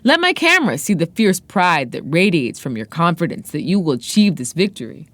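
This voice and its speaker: A woman's voice: lowish voice, big pitch swings, a little fast, no room sound. A woman voicing a commanding, glamorous female propagandist in a novel, exhorting listeners in a grandiose, dramatic reading style. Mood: fervent, rousing. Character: tall, glamorous, commanding young woman in army fatigues, fervent propagandist.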